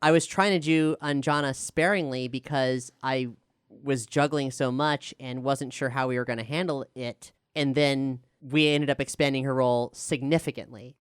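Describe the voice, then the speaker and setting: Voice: medium pitch, fairly even intonation, moderate pace, clean tone, no echo, studio quality. A showrunner and writer speaking candidly and with self-deprecation in a casual panel question-and-answer chat. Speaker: showrunner, writer and player of an audio drama.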